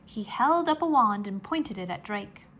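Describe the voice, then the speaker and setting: A woman's voice: mid-range voice, expressive, a little slow, fairly bright tone. A woman reading a children's story aloud as a scripted narration.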